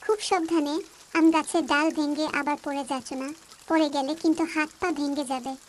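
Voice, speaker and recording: A woman's voice: high-pitched, steady tone, moderate pace, slight background noise, close to an everyday voice. A woman voicing a chatty, carefree young schoolgirl in a cartoon story. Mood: anxious.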